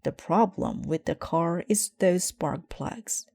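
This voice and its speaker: A woman plays a reassuring papa bear from a children's storybook. The delivery is reasonable and calm, with a mellow tone and dry sound. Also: on the low side, takes it slowly, creaky, no room sound, full character voice.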